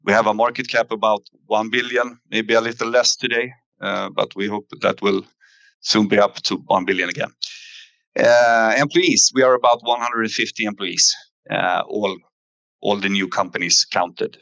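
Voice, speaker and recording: A man giving a company investor presentation in a halting way, pausing often with fillers. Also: fairly low pitch, fairly even intonation, gravelly, bright, forceful tone, no echo, lo-fi sound. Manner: halting, hesitant delivery with frequent fillers. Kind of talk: investor earnings presentation, semi-scripted.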